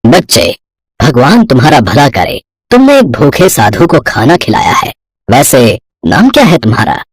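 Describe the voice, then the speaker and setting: A man playing a kindly old wandering holy man in an animated moral story, solemn and measured. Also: medium pitch, no echo, studio quality. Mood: grateful.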